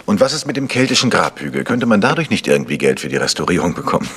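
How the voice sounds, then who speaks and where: An actor playing a polite, inquisitive amateur detective in a German radio mystery. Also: male voice, deep voice, sing-song, fast, fairly bright tone, husky, heavily acted. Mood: polite, probing. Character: probing, courteous amateur detective. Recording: faintly noisy old radio recording.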